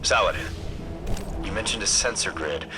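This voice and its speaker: An actor playing a practical military scout in a video game, giving clipped, matter-of-fact mission reports. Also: male voice, low-pitched, expressive, normal speed, over music. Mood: businesslike.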